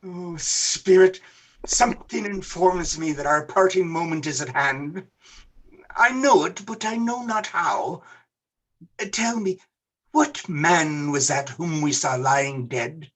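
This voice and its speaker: A man plays an old miser in a theatrical radio drama, frightened and desperate to repent. The voice is sharp and forceful yet husky, and it moves slowly, with halting, imploring phrases. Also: fairly high pitch, poor audio. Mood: solemn dread. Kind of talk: theatrical radio play acting.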